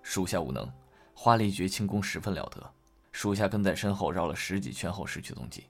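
Low voiced loyal guard, formal n respectful report, old china radio drama, bit of music. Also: male voice, expressive, a little fast, creaky, full character voice. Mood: formal, deferential. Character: dutiful male subordinate guard reporting to his master. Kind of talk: Chinese period audio drama acting.

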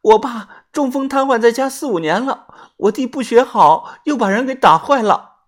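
A woman's voice: on the high side, lively intonation, voice pushed up. A storyteller voicing a timid, desperate young woman who stammers and pleads for help. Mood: begging, tearful. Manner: stammering, halting.

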